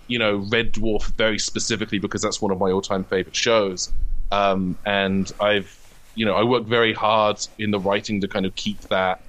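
A man's voice: fairly low pitch, flat delivery, moderate pace, fairly bright tone, poor audio. A British man from the UK talking casually as an interview guest, explaining his writing in a self-deprecating way. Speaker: guest, comedy writer.

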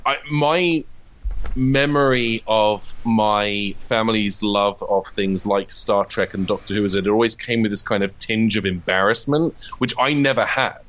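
A man's voice: on the low side, a little slow, a bit bright, poor audio. A man in his thirties from the UK with a British accent, reminiscing casually as an interview guest. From United Kingdom. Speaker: interview guest, comedian and writer.

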